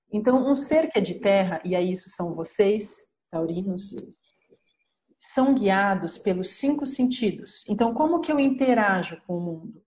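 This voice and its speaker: A woman's voice: mid-range voice, lo-fi sound. A woman with a Brazilian Portuguese accent, an astrologer hosting a podcast, explaining her subject step by step in a teaching manner. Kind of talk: podcast lesson.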